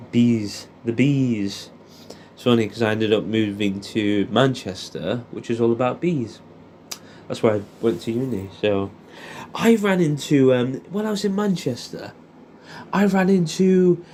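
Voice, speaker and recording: A man's voice: mid-range voice, dark tone, slight background noise. A young man with an English accent speaking in a casual, intimate, rambling and hesitant monologue, as if catching up with an old friend. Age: young adult. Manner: rambling, hesitant, informal with swearing.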